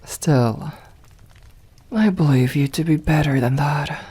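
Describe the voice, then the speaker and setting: A woman voicing a haughty, seductive, possessive vampire mistress in an ASMR roleplay, speaking softly in formal, condescending phrases, often close to a whisper. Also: sits low, full character voice. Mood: cool, intrigued. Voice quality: breathy, soft, often whispered. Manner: slow, deliberate, condescending, formal old-fashioned diction. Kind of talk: ASMR roleplay, scripted acting.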